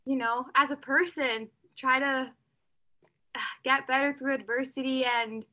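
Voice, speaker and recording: Bright young woman singer as guest. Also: sits high, steady tone, measured pace. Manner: earnest, warm-hearted, uses "like" and "you know" often. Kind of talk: interview conversation.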